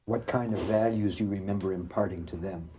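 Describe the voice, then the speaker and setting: A man's voice: low-pitched, fairly even intonation, fairly quick, mellow, a little hiss or hum. A man conducting a probing, confrontational interview.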